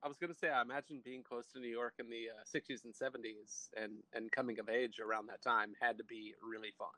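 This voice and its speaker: A man's voice: mid-range voice, fairly even intonation, fast, sharp tone, no echo, low-quality recording. A man chatting casually as a podcast co-host. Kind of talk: casual chat. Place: indoors, in person with guest.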